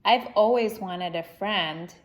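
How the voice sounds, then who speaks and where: A woman voicing a gentle, earnest little toy bear full of wonder in a children's storybook. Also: medium pitch, takes it slowly, natural voice.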